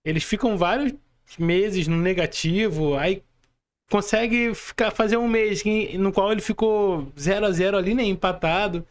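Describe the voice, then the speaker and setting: A Brazilian man speaking as a podcast host in casual conversation, halting as he comments and checks in. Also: high voice. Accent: Brazilian Portuguese.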